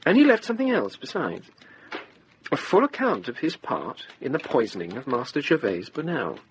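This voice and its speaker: An actor playing a brisk, wry lawman, dry, precise and knowing, in a radio drama. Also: medium pitch, very animated, muffled recording, a little hiss or hum. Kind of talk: British radio drama acting.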